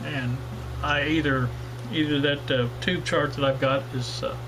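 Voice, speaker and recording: Rambling man with a slightly high voice. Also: steady tone, measured pace, background noise. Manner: rambling, many fillers and restarts. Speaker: radio hobbyist channel host. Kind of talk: casual workshop demo vlog.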